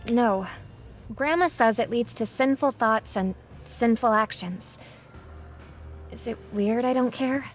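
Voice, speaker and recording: A woman's voice: a little high, slow, soft background music. A woman voicing an eager, shy, sheltered teenage girl in a video game. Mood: hesitant, candid. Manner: earnest, youthful. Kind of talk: video game character acting.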